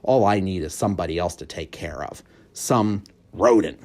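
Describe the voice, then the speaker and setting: A menacing storybook schoolmistress, acted out by a male reader, curt and full of disapproval. She grumbles in a lowish voice with heavy, deliberate emphasis. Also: a little mellow. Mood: grumbling. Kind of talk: children's storybook character voice.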